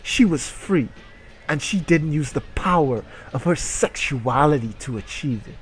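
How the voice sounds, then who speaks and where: A man's voice: a little high, expressive, a little slow, slight background noise. A man doing a mocking dramatic reading with asides, sarcastic, profane and exclamatory. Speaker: streamer reading aloud.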